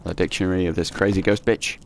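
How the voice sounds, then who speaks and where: A man's voice: sits low, steady tone, fast talker, creaky. A young man casually commenting on a horror game as he plays, reading in-game notes aloud, sarcastic and swearing at the game. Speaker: let's play host. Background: faint game music.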